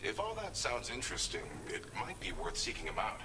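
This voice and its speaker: An actor playing a male video game character giving a measured, explanatory weapons briefing. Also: fairly low pitch, huge pitch swings, noisy background. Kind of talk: scripted video game dialogue.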